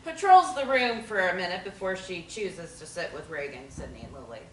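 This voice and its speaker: A woman's voice: fairly low pitch, animated, normal speed, fairly bright tone. Woman reading stage directions, roomy. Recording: roomy audio from far off, faint noise.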